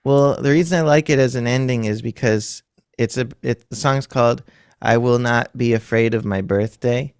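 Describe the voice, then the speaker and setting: 30s guy, raspy fry in his voice, rambles and laughs at himself, mid pitch. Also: moderate pace, darkish tone, no room sound, clean studio sound. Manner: rambling, self-deprecating, laughs often. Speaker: musician guest. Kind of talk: casual chat.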